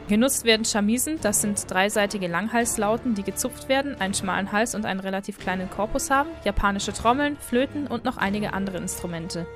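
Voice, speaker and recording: A woman host narrating a scripted explainer video in a friendly way. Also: mid-range voice. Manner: friendly, apologetic about pronunciation. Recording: voice-over with faint background music.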